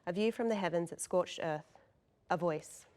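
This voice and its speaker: Female narrator for a scripted drama read, lower register, delivering scene headings and action lines. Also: moderate pace, a little mellow. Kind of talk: scripted narration of stage directions.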